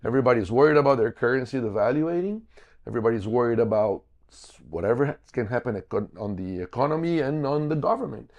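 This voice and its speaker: A man's voice: mid-range voice, lively intonation, quick pace, a little mellow, highs cut off. A man from Brazil, a native Portuguese speaker, explaining things step by step as an interview guest. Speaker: podcast guest, financial business owner.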